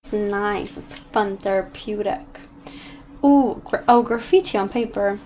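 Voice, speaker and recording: A woman's voice: medium pitch, a little slow, a little mellow, a little hiss or hum. A young woman with a British accent and a slight Australian twang, rambling through casual stream chat with many restarts while she paints.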